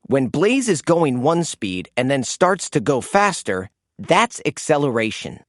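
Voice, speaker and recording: Slow, very animated storybook narrator. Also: mid-range voice, no echo, clean studio sound. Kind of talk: animated children's read-aloud narration.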